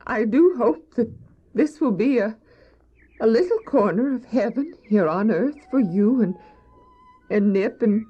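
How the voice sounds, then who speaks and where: A woman's voice: fairly high pitch, expressive, a little slow, slightly rough. A woman playing a kindly, pious, wealthy lady in an audio drama, speaking tenderly and earnestly. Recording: muffled old cassette drama, faint music.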